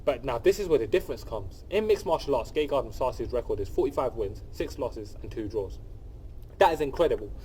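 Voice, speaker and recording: A man's voice: mid-range voice, expressive, a little fast, warm tone, soft background music. A young man with a cold, hosting his channel and breaking down an upcoming fight in casual, enthusiastic commentary. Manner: enthusiastic, repeats phrases, many fillers and restarts. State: sniffly from a cold. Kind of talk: casual solo commentary to camera.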